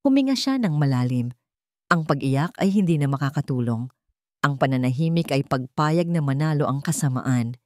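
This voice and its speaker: Woman telling a dramatic story, solemn, lowish voice, really dry close sound. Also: a little mellow, no room sound. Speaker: story narrator. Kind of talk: scripted dramatic narration of a Tagalog love story.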